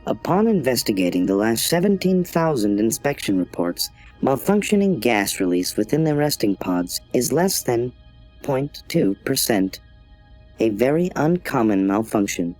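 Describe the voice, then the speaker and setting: A man's voice: medium pitch, big pitch swings, moderate pace, darkish tone, soft background music. Man voicing a formal corporate AI, lilting intonation. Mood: officious. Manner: formal, scripted, impassive politeness. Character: polite, obtuse corporate customer-service AI. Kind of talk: automated assistant in audio drama.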